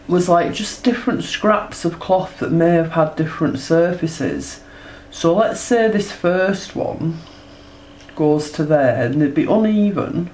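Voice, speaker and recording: A woman with a stuffed-up nose talks through a hands-on art demonstration at a table. Her delivery is chatty and unhurried, tossing in little tag questions as she sketches. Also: deep voice, a little mellow, a little hiss or hum. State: congested from a cold. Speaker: textile artist demonstrating her process. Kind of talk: casual tutorial narration while drawing. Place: indoors at a table.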